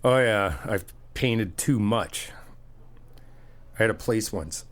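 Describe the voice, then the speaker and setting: Guy with a lowish voice doing a chill friend roleplay, jokey and reassuring. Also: slow pace, fairly bright tone. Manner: playful, teasing, chatty; reassuring, helpful. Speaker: ASMR roleplay performer.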